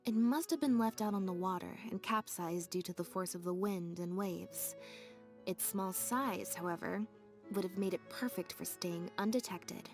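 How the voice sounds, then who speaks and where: A woman playing a calm, reserved young woman in a video game, with a deadpan, understated delivery over faint music. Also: on the low side, normal speed, natural voice. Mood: calm, matter-of-fact. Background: soft game music.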